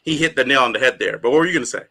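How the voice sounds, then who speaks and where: A man co-hosting a casual podcast, talking in an animated way with frequent laughs and plenty of fillers. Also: mid-range voice, very fast. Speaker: podcast co-host. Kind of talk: casual chat.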